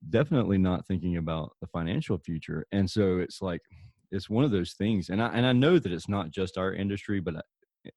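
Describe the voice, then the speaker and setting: Deep, dark-voiced man hosting. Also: fairly quick, dry recording, studio quality. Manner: easygoing, chatty, frequent agreeing backchannels. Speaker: podcast host. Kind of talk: casual interview conversation.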